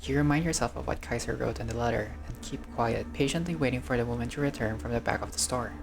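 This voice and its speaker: A man's voice: medium pitch, fast, gravelly. A man narrating a visual novel playthrough, reading the text aloud and adding casual commentary as a gamer.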